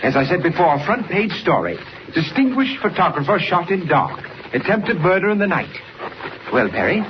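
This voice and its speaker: An actor playing a fussy, self-important newspaper photographer in a 1940s radio serial, precise, pompous and dryly sarcastic. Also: male voice, sits high, big pitch swings, normal speed. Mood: wry, indignant. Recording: muffled, noisy 1940s radio recording.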